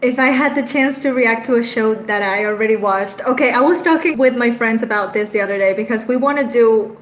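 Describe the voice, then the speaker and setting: A woman's voice: fairly high pitch, brisk, poor audio. Venezuelan woman chatting on a live stream. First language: Spanish. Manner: chatty, laughs often, trails off softly. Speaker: streamer answering live chat. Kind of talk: casual live-stream chat.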